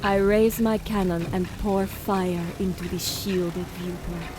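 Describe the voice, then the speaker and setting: A woman's voice: fairly low pitch, fairly even intonation, takes it slowly, background noise. A woman playing a resolute alien military commander in an audio drama, grave and firm in both narration and orders. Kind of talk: audio drama acting with first-person narration. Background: music score under the voice.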